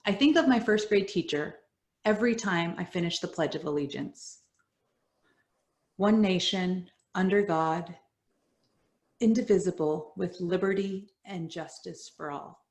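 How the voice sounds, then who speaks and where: Earnest woman reading her own story, a bit low-pitched, slow and measured, trails off soft. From United States. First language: Spanish. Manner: earnest, measured, dropping soft at line ends. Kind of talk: reading a personal essay. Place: home, virtual event.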